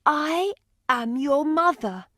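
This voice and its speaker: Woman as poor loving beggar mother, storybook drama, emotional, unhurried, close dry sound. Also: high voice, animated, fairly bright tone, dry recording, heavily acted. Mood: pleading. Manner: pleading, emotional.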